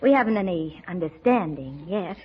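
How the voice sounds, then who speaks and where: An actress playing a gentle, earnest young niece in an old-time radio situation comedy. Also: female voice, fairly low pitch, animated, normal speed, lightly acted. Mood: warm, earnest. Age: young adult. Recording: muffled old radio recording.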